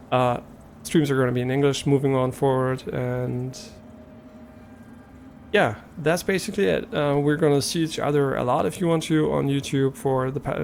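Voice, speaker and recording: A man's voice: mid-range voice, gravelly, a little mellow, slight background noise. A young man with a German accent, talking casually on a live stream, hesitant and rambling with many fillers and restarts.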